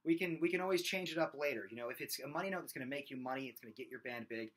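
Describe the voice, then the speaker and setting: A man's voice: very fast, a bit bright. A man in his thirties with a small, nasal, somewhat whiny voice, giving a casual singing lesson to a webcam in a room at home, talking with his hands. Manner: talks with his hands, demonstrates belted high screams. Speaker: vocal coach. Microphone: webcam at desk distance.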